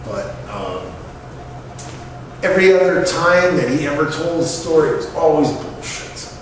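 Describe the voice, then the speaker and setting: A man's voice: a little high, very animated, measured pace. A middle-aged American man casually telling an anecdote in salty, colloquial, mildly mocking language. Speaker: storyteller. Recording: echoey, noisy, distant microphone.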